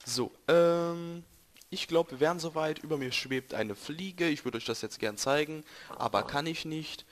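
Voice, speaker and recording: A young man, a bit hoarse, rambling and joking to viewers while commentating his gameplay. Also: a little high, measured pace. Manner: joking, rambling, self-correcting, talks to viewers. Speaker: let's play gamer.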